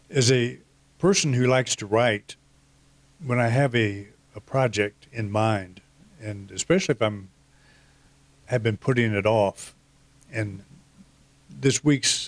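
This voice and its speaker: A middle-aged man with a slight croak from a cold gives a reflective, meandering spiritual talk. His voice sits a little low and is recorded dry and close, with no room sound. He pauses often, fills gaps, and restarts his sentences. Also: no room sound. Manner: reflective, rambling, with many fillers and restarts. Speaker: minister giving a talk.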